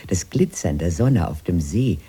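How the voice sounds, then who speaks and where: An old, sentimental sister in a radio drama. The voice is very husky and mellow, rising and falling freely. She rambles dreamily and wistfully through her memories. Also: rumbling low, lively intonation, a little slow, exaggerated voice. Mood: dreamy, wistful. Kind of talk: radio play dialogue.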